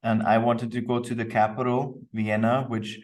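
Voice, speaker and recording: A man's voice: medium pitch, flat delivery, normal speed. A man from Austria speaking as a podcast guest, explaining himself in an easygoing, reflective interview style. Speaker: podcast guest, company co-founder. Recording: distant microphone.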